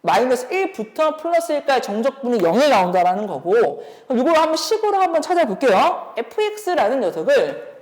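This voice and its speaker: Female academy instructor, high register, animated delivery, explanatory exam-review lecture with rhetorical questions. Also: fairly quick. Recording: reverberant room.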